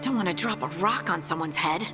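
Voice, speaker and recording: A woman's voice: on the low side, lively intonation, brisk, over music, close to an everyday voice. An actress playing an earnest young female mage in a video game, unsure of her new powers, in a reverberant space. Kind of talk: video game dialogue acting.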